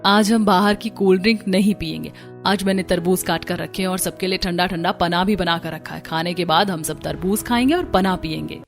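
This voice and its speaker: A woman's voice: lowish voice, fairly quick, vocal fry, soft background music. A woman voicing a caring, resilient young daughter-in-law in a cartoon story. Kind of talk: Hindi cartoon story dialogue.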